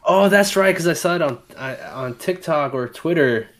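A man's voice: on the high side, lively intonation, normal speed. A man co-hosting a casual podcast, easygoing and laughing along. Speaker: podcast co-host. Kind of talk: casual chat.

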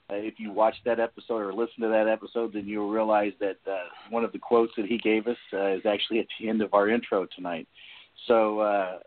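Phone-like, lower-voiced man hosting a podcast. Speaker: podcast host. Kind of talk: casual podcast introductions. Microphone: online voice call. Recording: muffled, phone-like voice-call audio.

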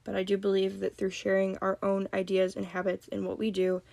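Young woman with a cold, gravelly, flat even delivery, gentle calm podcast talk, dry close mic. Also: medium pitch, a little fast, no room sound. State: has a cold. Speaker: podcast host. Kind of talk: solo podcast talk on mental health.

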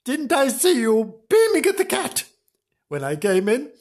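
Storyteller acting a bossy housewife, high-pitched, exasperated, scolding, repetitive, comic storybook character. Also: very animated, unhurried, heavily acted. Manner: scolding, exclaiming, repeating herself.

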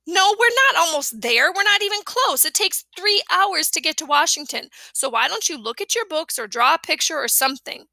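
A woman's voice: extremely high pitch, lively intonation, moderate pace, vocal fry, hardly any pauses, bright, forceful tone, no echo, pitched above the performer's own voice, full character voice. A woman doing an exasperated older boy. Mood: impatient. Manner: impatient, dry. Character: exasperated older boy, put-upon big brother.

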